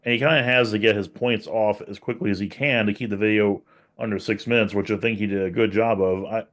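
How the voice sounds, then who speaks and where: A man with a lowish voice speaks over a video call. He is evaluating a speech in a thoughtful, self-critical way and often restarts his sentences. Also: fairly even intonation, brisk, a bit bright. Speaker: podcast co-host giving speech evaluation. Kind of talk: casual evaluative discussion. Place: virtual meeting.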